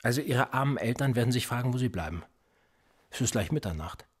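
An actor playing a police interrogator in a radio play, sardonic and menacing, needling with theatrical rhetorical questions. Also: male voice, medium pitch, expressive, quick pace, husky. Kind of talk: naturalistic German radio play acting.

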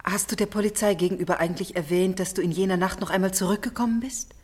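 Husky, troubled young lady played by an actress. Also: mid-range voice, fast, darkish tone, natural voice. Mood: uneasy, probing. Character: troubled, suspicious young widowed lady of the house. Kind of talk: German radio play acting.

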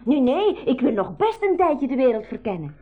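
High, breathy, inquisitive girl. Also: sing-song, normal speed, phone-quality sound, faint music behind. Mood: eager. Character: Marleen, lively inquisitive young girl traveller. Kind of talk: 1980s school radio play acting.

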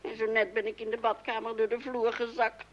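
A woman's voice: fairly high pitch, little pitch movement, fairly quick, lightly acted. An actress playing a put-upon, complaining mother in an old-fashioned radio comedy, plaintive and dramatic. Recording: dull, band-limited old radio recording.

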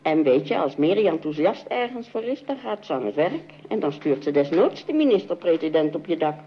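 An actress playing a polite, chatty, effusive housewife in a radio comedy drama. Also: female voice, low voice, very animated, a little fast, husky, heavily acted. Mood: confiding. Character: polite, chatty housewife, warm-hearted and easily impressed. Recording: dull, noisy old radio recording.

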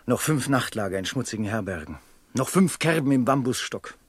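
Husky, impassioned proud merchant. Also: male voice, medium pitch, lively intonation, a little fast, no echo, clean studio sound. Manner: impassioned, poetic, swinging from hushed to commanding. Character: proud, love-struck merchant, brooding and imperious. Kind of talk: German radio play acting.

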